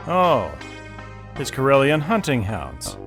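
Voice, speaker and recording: A man's voice: medium pitch, huge pitch swings, takes it slowly, fairly bright tone, exaggerated voice. A man playing an easygoing mercenary hunter in an audio drama, speaking in a polite, genial way. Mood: curious, low-key. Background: prominent music.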